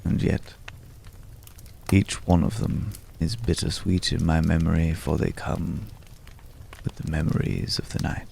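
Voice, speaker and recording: A man acting a mysterious, courtly, world-weary man in an intimate, hushed ASMR roleplay, with florid, old-fashioned, pensive phrasing and a gravelly voice. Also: extremely low pitch, little pitch movement, unhurried, a little hiss or hum, exaggerated voice. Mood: wistful, pensive.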